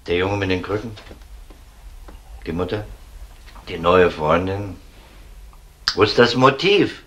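Police detective, low crisp forceful voice, sober, probing, radio crime play, faint noise. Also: male voice. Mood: brooding. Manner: probing, deliberate questioning. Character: sober, persistent male police detective investigating a missing person. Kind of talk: German radio crime drama acting.

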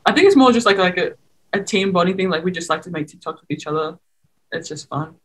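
A woman's voice: on the low side, animated, fast talker, husky. A young Australian woman, a college basketball player, chatting playfully over a video call. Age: young adult. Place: small room, indoors.

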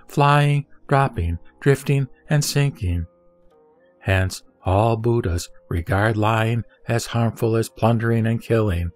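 A man reading scripture as a solemn scripted narration, in measured, deliberate phrases with pauses. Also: mid-range voice. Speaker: sutra reciter. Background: background music.

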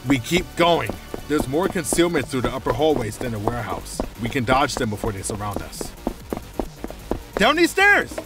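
A man acting a cornered, anxious informant in an audio roleplay, pleading and explaining tactics urgently in a husky voice. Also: mid-range voice, huge pitch swings, moderate pace. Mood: panicked, urgent. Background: music.

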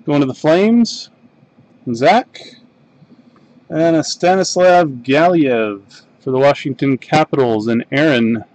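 A man's voice: fairly high pitch, a little mellow, no echo, poor audio. A Canadian man hosting a live card break, calling out the cards at his desk in an easygoing, mumbling way. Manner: unhurried, mumbling card names while opening packs. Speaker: box break host. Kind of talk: casual live-stream card break commentary. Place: desk in a home card room.

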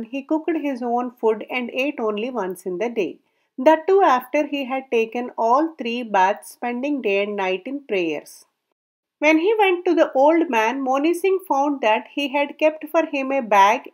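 A woman narrating a folk tale aloud in a measured storybook reading, her sentences falling at the ends. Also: high-pitched, poor audio.

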